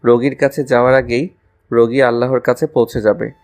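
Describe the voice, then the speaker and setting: A man's voice: mid-range voice, level tone, fairly quick, a little mellow, lightly acted. A man playing a cheeky, lovestruck college student with a flippant, teasing way of talking in an audio love story. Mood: grumbling.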